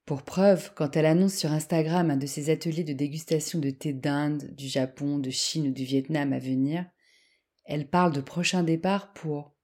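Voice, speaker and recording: Low-voiced woman narrating a podcast. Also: steady tone, normal speed, a little mellow. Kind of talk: scripted narration.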